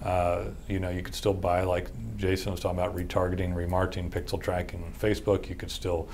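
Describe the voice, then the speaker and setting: Animated man on a business podcast. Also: low-pitched, fast, a little hiss or hum. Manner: animated, acts out customers in mocking voices. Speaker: podcast co-host. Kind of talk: casual business chat. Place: small studio with bookshelves, seated in armchair.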